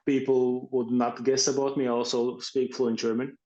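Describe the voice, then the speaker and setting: A man's voice: medium pitch, flat delivery, normal speed, a little mellow, highs cut off. A man from Latvia, a guest photographer chatting casually in an interview and often restarting his sentences. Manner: hesitant, many restarts and fillers. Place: Estonia.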